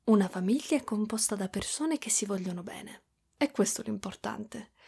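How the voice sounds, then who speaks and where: A woman voicing a gentle, protective spider-woman mother in an intimate ASMR roleplay, soft and breathy, often slipping toward a whisper. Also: mid-range voice, animated, normal speed, heavily acted. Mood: thoughtful, gentle. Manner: soft, cooing, hushed, drifting into whispers.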